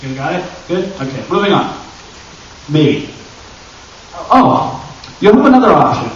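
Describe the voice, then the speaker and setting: A man's voice: a little high, very animated, measured pace. A man giving an informal, chatty panel talk to an audience, joking and addressing the crowd directly as he presents. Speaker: panel presenter and audio drama creator. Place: indoor convention panel room. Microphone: distant camera microphone. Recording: very echoey room, distant, muffled and noisy.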